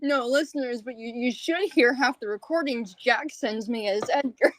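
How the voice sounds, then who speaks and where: A woman's voice: on the high side, moderate pace, slight background noise, low-quality recording. A woman hosting a casual interview, chatting in a playful, giggly manner. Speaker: podcast host, actor.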